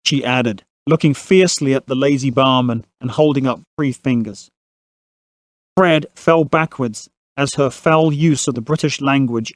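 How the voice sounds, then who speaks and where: A man's voice: medium pitch, no echo. A man narrating a family saga as a scripted audio drama storyteller.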